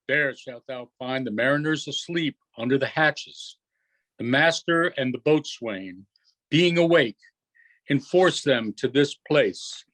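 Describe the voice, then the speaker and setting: A man reading the part of a commanding, forgiving yet stern duke and magician in an unrehearsed Shakespeare play, in a measured, declamatory way. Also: medium pitch, fairly bright tone, poor audio. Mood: fond, commanding.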